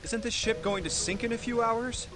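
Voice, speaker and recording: An actor playing an excitable, skeptical young man in a game, questioning everything. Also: extremely high pitch, moderate pace, over music. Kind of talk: English game dub.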